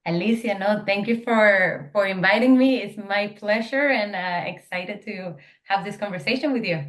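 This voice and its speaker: A woman's voice: medium pitch, moderate pace. A young woman from Venezuela, a startup founder, answering interview questions in an eager, talkative way with many fillers and restarts. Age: young adult.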